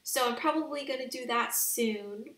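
A young woman giving casual, slangy let's play commentary on a video game in an excitable, gushing way. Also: fairly high pitch, moderate pace, roomy sound, distant mic. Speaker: video game streamer and channel host.

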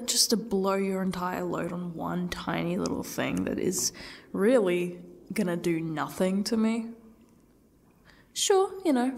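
A woman playing a defiant captive who taunts her torturer with bitter sarcasm in an ASMR roleplay, husky and often dropping toward a whisper, in halting, mocking phrases. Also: mid-range voice, darkish tone, exaggerated voice. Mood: taunting. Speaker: audio roleplay performer. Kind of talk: ASMR roleplay monologue acting.

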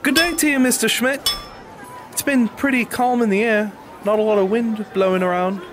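Man playing a high-voiced earnest peasant farmer. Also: steady tone, moderate pace, background noise, close to an everyday voice. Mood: friendly. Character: earnest, humble medieval peasant farmer and father. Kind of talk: audio drama acting and narration.

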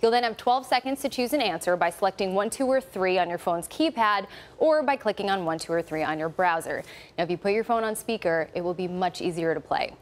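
A woman's voice: mid-range voice, animated, brisk. An American woman in her thirties hosting a live TV trivia game in a chatty, emphatic way with personal asides. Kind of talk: live TV quiz hosting, partly scripted. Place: TV news studio. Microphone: broadcast studio microphone. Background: clear music bed.

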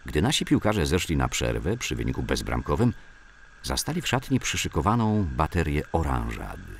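A man narrates written recollections. His voice is extremely deep and gravelly, with lively rises and falls in intonation. There is slight ambient noise behind him. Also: normal speed. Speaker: narrator reading memoirs. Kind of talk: scripted documentary narration of sports-club recollections.

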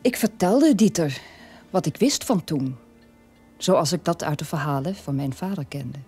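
A woman's voice: sits low, expressive, a little slow, dark tone, slightly rough, soft background music, exaggerated voice. An actress playing a troubled middle-aged mother telling her family's story in a radio play monologue. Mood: reflective, troubled.

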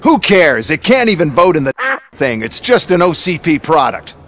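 A man's voice: fairly high pitch, sing-song, moderate pace, fairly bright tone, soft background music. A man playing an arrogant, slick tech executive in a video game, speaking like a pompous salesman. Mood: dismissive. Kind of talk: video game cutscene acting.

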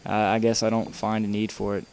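A man's voice: lowish voice, little pitch movement, a little fast, a little mellow, a little hiss or hum. A young man talking casually over his gameplay while showing how to build a track, rambling with lots of fillers and restarts. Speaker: video game tutorial maker.

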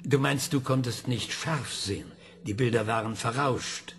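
An actor playing a calm male scientist who questions a ship computer with patient, probing questions. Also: medium pitch, a little slow.

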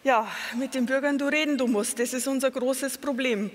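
A middle-aged Bavarian woman speaks at a conference with a fairly high voice, earnest and explanatory, often pausing on fillers and correcting herself mid-phrase. Her voice rings with a long hall reverb. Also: steady tone. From Lower Bavaria, Germany. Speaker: patient advocate speaker. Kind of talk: conference talk with slides.

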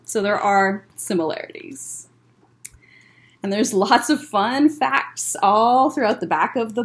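A young American woman, a children's librarian, reading a picture book aloud to children with expressive, deliberate phrasing and short asides. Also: on the high side. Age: young adult. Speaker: children's librarian hosting story time. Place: indoor library room lined with bookshelves. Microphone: webcam at desk distance.

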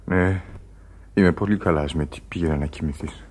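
A man playing a dying sailor, deep and weak. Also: darkish tone, natural voice. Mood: weary. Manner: weak, pleading, breathless. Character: dying sailor, fearful then resigned. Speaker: radio drama actor. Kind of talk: radio play acting. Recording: faint hiss of old radio recording.

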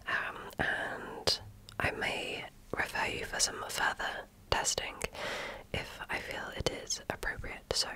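A woman performs an ASMR medical role-play in a breathy whisper. She takes her time, speaking in a gentle, attentive way, with a crisp, bright edge to the sound. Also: takes it slowly, rough recording.

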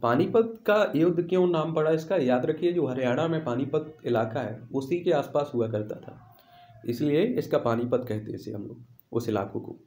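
Higher-voiced male quiz teacher in echoey room. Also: normal speed, a little mellow. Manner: repeats questions and answers for emphasis. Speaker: teacher presenting exam quiz questions. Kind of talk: instructional quiz walkthrough.

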